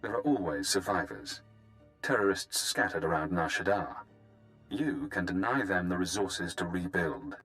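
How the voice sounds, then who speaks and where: An actor playing a cold, calculating spy handler in a video game, speaking in a clinical, measured, detached way over music. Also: male voice, deep voice, expressive, a bit bright. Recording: music under the voice.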